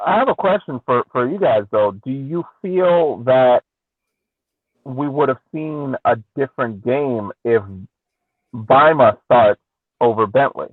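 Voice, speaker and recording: A man's voice: medium pitch, animated, measured pace, no room sound. A man on a podcast panel casually arguing through a soccer game, posing questions and acting out reactions. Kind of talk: casual post-game sports discussion. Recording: thin phone-like radio sound.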